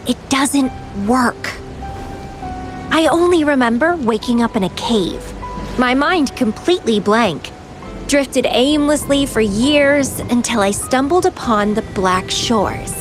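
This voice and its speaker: An actress playing a playful, carefree, enigmatic young woman in a video game, with a teasing, languid, theatrical delivery. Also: fairly high pitch.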